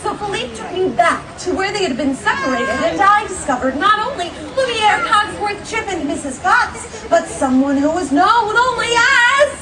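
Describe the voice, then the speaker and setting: A woman's voice: extremely high pitch, sing-song, moderate pace, hardly any pauses. A young woman playing a sweet, gracious storybook heroine, telling a tale to children in a theatrical, gushing, encouraging way at a live show. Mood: storytelling, animated. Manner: theatrical, gushing, encouraging, sing-song questioning. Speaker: theme park character storyteller. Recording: distant echoey live show, loud music and crowd. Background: loud music and audience of children.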